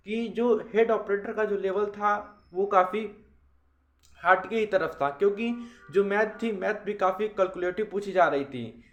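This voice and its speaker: A man giving an informal exam analysis to students as a coach, explaining things with repetitive phrasing. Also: high voice. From India. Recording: roomy echo.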